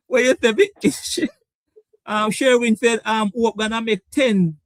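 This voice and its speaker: A man's voice: very high-pitched, fairly even intonation, slow, no echo. A man hosting a casual cricket chat show, teasing his guests and laughing often. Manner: laughing, teasing, repeats words. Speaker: show host.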